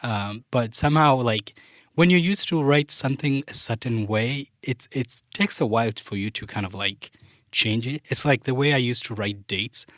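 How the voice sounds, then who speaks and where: A man's voice: mid-range voice, moderate pace, dry recording, clean studio sound. A young man, a Luganda speaker, chatting casually as a podcast guest and using many fillers. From Uganda. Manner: relaxed, many fillers like "like" and "you know". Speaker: guest, software developer.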